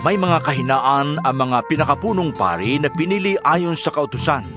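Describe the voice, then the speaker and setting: A man with a Filipino accent narrating scripture from a script in a measured, formal manner. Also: fairly high pitch, lively intonation. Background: music.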